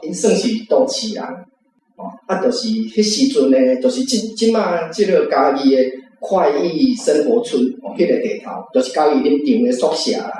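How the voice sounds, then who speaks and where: Male guest speaker, Taiwanese accent, highish voice sharing memories, distant and heavily reverberant. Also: darkish tone, slight background noise. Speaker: panel guest, reading club member. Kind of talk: personal reminiscence and commentary. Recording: very echoey, distant, faint music. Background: faint guitar music.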